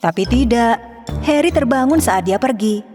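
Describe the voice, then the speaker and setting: A woman narrating a children's cartoon story in a calm, clear storytelling manner. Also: on the high side, normal speed. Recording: music behind.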